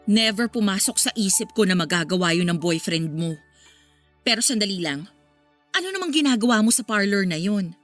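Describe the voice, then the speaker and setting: A woman with a Filipino accent playing a protective, easily scandalized confidante, expressive and emphatic, in an audio drama. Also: mid-range voice, a little fast, faint music behind, lightly acted. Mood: probing.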